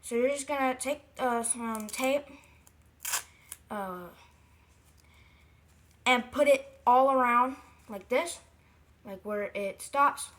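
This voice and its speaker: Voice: mid-range voice, slow, pauses often, a bit bright. A video creator giving a casual how-to craft tutorial, rambling, correcting themselves and repeating points for emphasis. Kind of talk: casual DIY how-to vlog talk.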